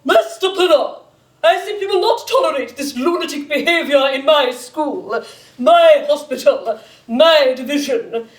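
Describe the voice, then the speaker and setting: Stern battle-axe headmistress, very proper and indignant, high voice, echoey theatre. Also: female voice, animated, measured pace. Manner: pompous, indignant, over-enunciated. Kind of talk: comic film character on stage.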